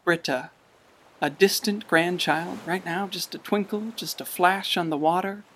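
A woman's voice: fairly low pitch, a little slow, fairly bright tone, slight background noise, poor audio. An actress playing a folksy narrator in a radio play, musing and conversational as she watches over a town. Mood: musing, wistful. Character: folksy storyteller watching over a river town's generations. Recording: faint music behind voice.

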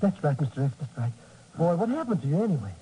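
An actor playing an excitable, muddled comic sidekick who stammers eagerly in a husky voice, 1950s radio drama. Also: male voice, fairly high pitch, quick pace, a little hiss or hum, rough recording. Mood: fretful. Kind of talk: 1950s radio comedy-relief acting. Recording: old 1950s radio recording.

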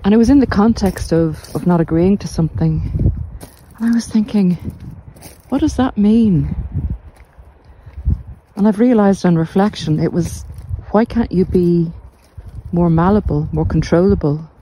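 A middle-aged woman giving reflective, informal podcast advice in a measured, deliberate way with pauses. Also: fairly low pitch, mellow, slight background noise, rough recording. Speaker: podcast host and narcissistic abuse recovery coach. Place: walk outdoors along the coast.

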